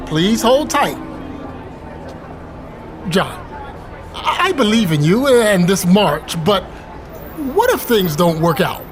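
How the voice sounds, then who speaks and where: An actor playing a supportive, anxious church pastor in an audio drama, speaking formally and deliberately. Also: male voice, sits high, sing-song, exaggerated voice. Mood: worried. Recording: music and crowd noise behind.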